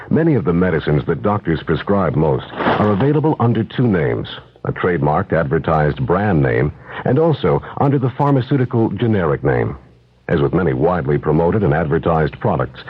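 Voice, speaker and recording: A man's voice: deep voice, breathy edge. A man reading a scripted 1970s radio advertisement as an announcer, in a formal, explanatory way. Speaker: commercial announcer. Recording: tinny old radio broadcast.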